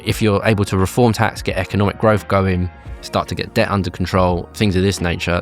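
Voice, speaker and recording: A man from the UK, a campaign group chief, explaining tax and spending in a measured interview manner with fillers and restarts. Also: low voice. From United Kingdom. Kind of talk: conversational policy interview.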